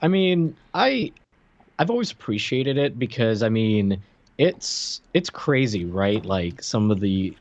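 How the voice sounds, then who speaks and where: A man's voice: fairly low pitch, sing-song, slow, a little mellow. A man in his thirties co-hosting a podcast from home in casual conversation. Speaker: podcast co-host. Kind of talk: casual chat. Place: indoor room at home, video call. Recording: slightly dull, dry close sound.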